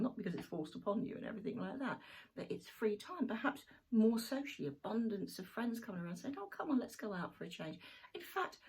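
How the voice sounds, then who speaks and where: Gravelly-voiced woman reading tarot. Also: a little high, darkish tone, rough recording. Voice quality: gravelly, croaky throat. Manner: chatty, homely, with fillers like "you know". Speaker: tarot reader. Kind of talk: one-card tarot reading to camera.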